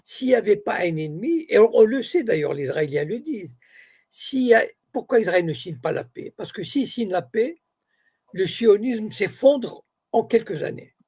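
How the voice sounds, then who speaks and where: Gravelly husky man, native Arabic speaker. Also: high voice, big pitch swings, moderate pace, mellow. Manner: explains point by point, with many restarts. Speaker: guest. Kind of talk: answering questions in a live interview.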